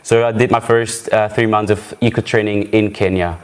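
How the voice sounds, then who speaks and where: A man's voice: lowish voice, steady tone, normal speed, clean tone. A young man from Zurich introducing himself on a live show, friendly and enthusiastic, with slightly phrasing. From Switzerland, Zurich. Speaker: guest, wildlife photographer. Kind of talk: casual self-introduction.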